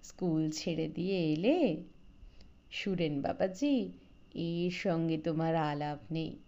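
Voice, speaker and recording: A woman's voice: low voice, slow, dark tone, muffled recording, voice pushed down. A woman voicing a familiar, talkative older village man in an audiobook story. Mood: welcoming. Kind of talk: audiobook character dialogue.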